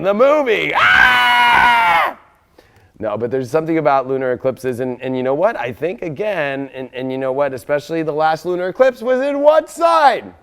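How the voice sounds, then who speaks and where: A man with a raspy voice hosting a casual live tarot reading, rambling and excitable, with lots of fillers and sudden loud outbursts. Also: fairly high pitch. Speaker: tarot reader and astrologer.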